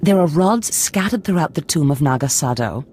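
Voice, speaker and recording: A woman's voice: low-pitched, lively intonation, normal speed, dark tone, a little hiss or hum. An actress voicing a scheming female Sith lord who speaks in a languid, commanding way. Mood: imperious. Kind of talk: game voice acting.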